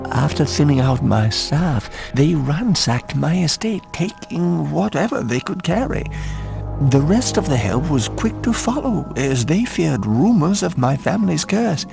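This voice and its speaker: An actor playing an ailing, bedridden aristocratic war veteran in a video game, gravelly, weary and dramatic, with halting delivery. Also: male voice, a little high, sing-song, exaggerated voice. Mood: troubled. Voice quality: gravelly, creaky. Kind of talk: video game cutscene dialogue. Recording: music behind the voice. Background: game soundtrack music.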